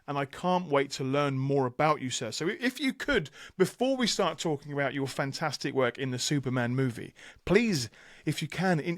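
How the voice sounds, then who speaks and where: A man's voice: fairly high pitch, a bit bright, no room sound, studio quality. A man hosting a podcast interview in an enthusiastic, conversational style. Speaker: podcast host.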